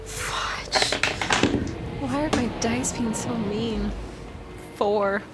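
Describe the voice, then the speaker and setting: A woman's voice: fairly high pitch, drawn-out words, loud music behind the voice. A woman playing a tabletop game, talking casually as a player at the table.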